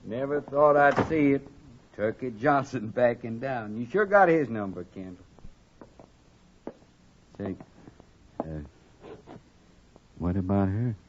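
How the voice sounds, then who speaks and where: A man playing a blunt, excitable frontier sidekick with a folksy American accent in a 1950s radio western. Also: medium pitch, slow, pauses often. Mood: conciliatory, amazed. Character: plain-spoken, excitable frontier cowboy sidekick. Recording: dull, noisy old 1950s radio recording.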